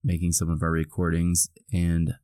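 A man co-hosting a podcast in casual chat, hoarse and groggy from a cold, laughing easily. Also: low-pitched, monotone, moderate pace, warm tone, dry recording, clean studio sound. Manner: laughs often, easygoing. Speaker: podcast co-host.

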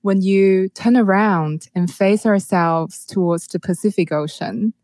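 A woman from Taiwan with a Taiwanese accent, speaking as an interview guest in a halting way, often repeating words as she searches for phrasing. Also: medium pitch, darkish tone, dry recording, studio quality. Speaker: music producer, interview guest. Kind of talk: conversational interview, telling personal experience.

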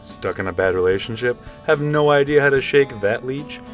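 A man's voice: medium pitch, expressive, normal speed, close to an everyday voice. A man playing a mock-sincere late-night love-show DJ, delivering parody radio announcements. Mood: salesy. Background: plucked guitar music.

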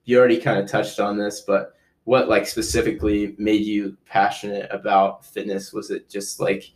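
Lowish voiced guy hosting a podcast, earnest, lots of um and repeats himself. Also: flat delivery, normal speed. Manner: earnest, restates points, hesitant with ums. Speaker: podcast host. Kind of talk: casual podcast interview.

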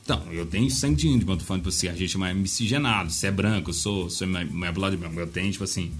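Brazilian man, rapid casual chat, low pitch, teasing tone, faint background music. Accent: Brazilian Portuguese. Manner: fast, joking and teasing, folksy slang.

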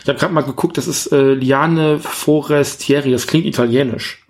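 Male podcast co-host, mid-pitched, loose and rambling conversational review style. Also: fairly even intonation, moderate pace. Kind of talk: casual film review chat.

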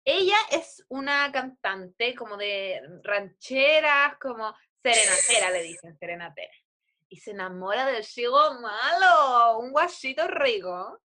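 Bright high-voiced Chilean woman, slangy. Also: lively intonation, measured pace. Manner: slangy, joking, laughs often. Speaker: podcast co-host. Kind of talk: casual chat.

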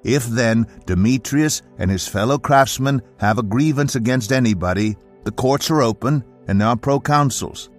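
A man with a mid-pitched voice narrates scripture in a dramatic reading style. Faint music plays underneath him. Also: moderate pace, hardly any pauses. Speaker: audio Bible narrator.

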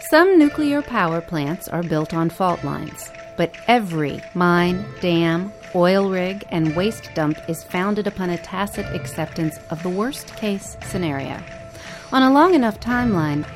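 A woman with a fairly low voice reads scripted news as a podcast host. Her delivery is measured and clear, with faint music running underneath.

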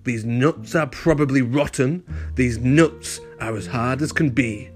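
A man voicing a greedy, boastful rat highwayman from a picture book who bellows his demands. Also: unhurried, soft background music, lightly acted. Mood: boastful bellowing.

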